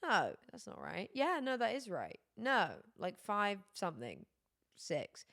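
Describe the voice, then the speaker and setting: A tired young woman streaming, chatting casually with her chat, her voice going hoarse. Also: medium pitch, huge pitch swings, unhurried, fairly bright tone. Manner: rambling, chatty, many fillers.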